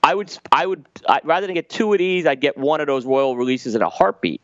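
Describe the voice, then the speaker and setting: A man's voice: a little high, expressive, fairly quick, fairly bright tone, no echo. A man co-hosting a cigar podcast, giving detailed, explanatory tasting notes in casual panel chat. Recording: slightly dull lo-fi audio.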